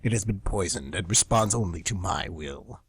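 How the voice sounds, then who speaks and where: A man voicing a smug demonic flamingo villain with a slow, taunting delivery in an amateur radio play. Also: deep voice, lively intonation, husky, no room sound, studio quality, full character voice. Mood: menacing.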